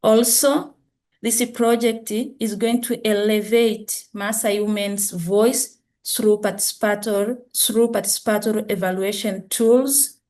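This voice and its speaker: A woman presenting a project over a video call, halting and correcting herself as she goes. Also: mid-range voice, steady tone, darkish tone.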